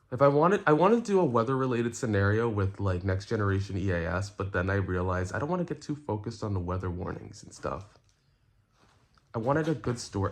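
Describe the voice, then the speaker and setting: A man speaks in a fairly low voice, addressing his online viewers directly. His delivery is casual and earnest, like an unscripted explanatory rant. He moves haltingly through his thoughts and often repeats a phrase to drive it home. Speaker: content creator and streamer.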